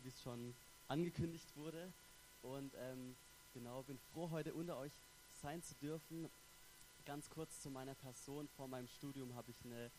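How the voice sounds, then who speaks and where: A man's voice: mid-range voice, background noise. A young man from Stuttgart with a light Swabian accent, a theology student giving a sermon in a friendly, chatty way with frequent fillers. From Stuttgart, Germany. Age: young adult. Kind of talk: church sermon with personal introduction.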